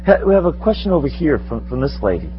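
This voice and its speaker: Male speaker, 60s, rather high voice, conversational and self-deprecating, sounds like a dull room recording. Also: sing-song, fast, faint music behind. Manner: conversational, rambling, self-deprecating, chuckles often. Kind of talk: informal spiritual teaching with audience questions. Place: meeting room with a live audience.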